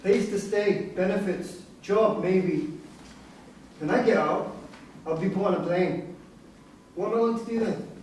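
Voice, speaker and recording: An actor playing a young, street-hardened migrant prisoner in a staged reading, plain-spoken, with non-standard grammar and a defensive, pragmatic air. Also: male voice, high-pitched, a little slow, pauses often, darkish tone. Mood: defiant. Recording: distant mic in echoey room, noisy.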